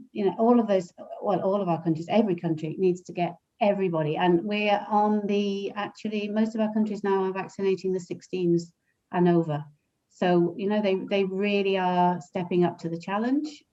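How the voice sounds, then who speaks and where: A woman on a panel discussion, talking in an animated way and often repeating words as she thinks. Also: fairly low pitch, highs cut off. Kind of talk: video call panel discussion.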